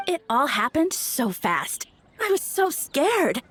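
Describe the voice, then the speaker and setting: A woman's voice: high voice, sing-song, slow, fairly bright tone, a little hiss or hum, exaggerated voice. A woman voicing a dreamy, flustered, lovestruck young flower shop girl in a video game. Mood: dreamy, wistful.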